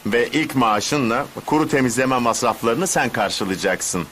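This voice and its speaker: A man's voice: mid-range voice, expressive, measured pace, a bit bright, slight background noise. A man playing a scheming, fussy villain boss in a cartoon dub, with a theatrical, commanding manner. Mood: smug, calculating. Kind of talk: Turkish cartoon dub acting.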